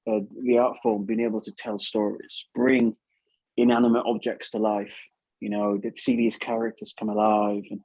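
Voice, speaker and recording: A man's voice: fairly low pitch, creaky, dark tone, slightly rough, no echo, poor audio. A man with an English accent talking casually and enthusiastically as an interview guest, rambling and often repeating words. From England. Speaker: puppeteer, podcast guest.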